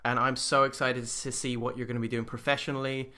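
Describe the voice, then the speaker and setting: A man's voice: mid-range voice, flat delivery, quick pace, sharp tone. A man in his thirties hosting an interview show, chatting casually and giving upbeat, motivational talk aimed at viewers. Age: 30s. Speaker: podcast host.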